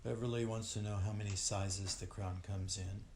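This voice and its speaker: A man's voice: lowish voice, fairly even intonation, normal speed, darkish tone. A man casually relaying questions from viewers as an off-camera helper. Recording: faint background noise.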